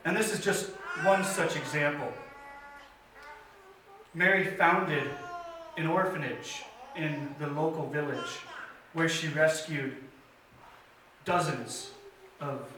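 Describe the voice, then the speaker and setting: A man telling a missionary's life story at a church story time, in an earnest, measured way with pauses and small fillers. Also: a little high, noisy background. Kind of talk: children's story time retelling. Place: church sanctuary. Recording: very echoey church hall from a distance.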